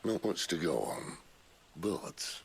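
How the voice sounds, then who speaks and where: An actor playing a shrewd, world-weary outlaw leader in a video game, with a wry, unhurried way of talking. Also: male voice, animated, slight background noise, heavily acted. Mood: wry, pondering. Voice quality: deep gravelly creaking voice. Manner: wry, unhurried, colloquial turns of phrase. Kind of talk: video game cutscene acting.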